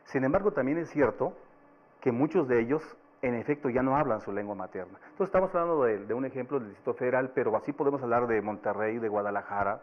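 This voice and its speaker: A man from Chiapas whose first language is Tzeltal, speaking Spanish with a Mexican accent as a panelist, giving an academic presentation at a measured, explanatory pace. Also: medium pitch, lively intonation, muffled recording. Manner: measured, explanatory, with occasional fillers.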